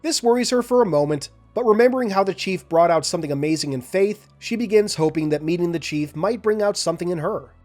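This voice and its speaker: Male host, scripted analysis narration, a bit high, over soft music. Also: fast, hardly any pauses. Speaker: lore video host.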